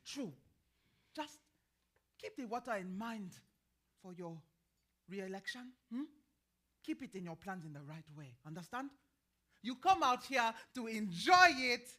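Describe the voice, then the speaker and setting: An actress plays a folksy older American woman who tends a river garden in a stage drama. Her bright, forceful voice swings widely as she meanders, pries and prods with questioning hums. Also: a little high, big pitch swings, unhurried, pauses often, exaggerated voice. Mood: earnest, insistent. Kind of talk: staged play reading.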